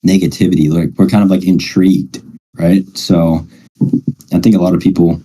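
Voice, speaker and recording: A man speaks in a low, very dark and relaxed voice. His delivery is casual and conversational, reassuring the listener and often ending on a short tag question. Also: normal speed, slightly rough, poor audio. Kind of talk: casual chat.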